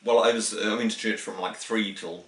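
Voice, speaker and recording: New Zealand man in a roomy space, low voice. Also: steady tone, normal speed, fairly bright tone, distant mic. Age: 50s. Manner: rambling, with restarts and chuckles. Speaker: interviewee. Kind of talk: casual interview answers. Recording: roomy, voice from the mic.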